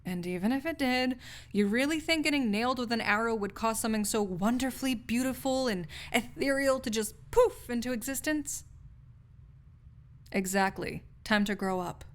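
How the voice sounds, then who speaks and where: A woman's voice: fairly high pitch, normal speed, lightly acted. A woman playing a snarky, cynical young research assistant in an audio roleplay, talking in a pedantic, lecturing way with scholarly wording. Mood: amused, condescending. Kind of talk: intimate audio roleplay acting, conversational monologue to listener.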